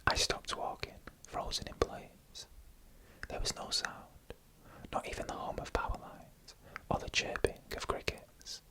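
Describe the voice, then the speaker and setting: An ASMR narrator tells eerie tales entirely in a whisper. The sound is bright and sibilant, with no voiced tone. The delivery is hushed and deliberate, close on the microphone. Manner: slow, hushed, deliberate storytelling in an unvoiced whisper. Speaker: ASMR storyteller. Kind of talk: whispered ASMR narration of scary first-person stories.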